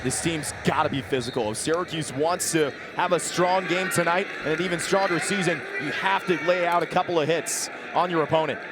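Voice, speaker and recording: Guy doing color commentary on a game, slightly high voice, super loud venue noise behind. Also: brisk. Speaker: color analyst. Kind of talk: live sports analysis commentary.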